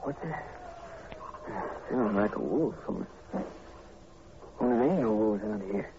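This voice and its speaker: An actor playing a hot-headed, rough-spoken country young man in a 1940s radio horror drama, defiant and folksy. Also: fairly low pitch, expressive, measured pace. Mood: uneasy, wary. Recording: very noisy, muffled old radio recording.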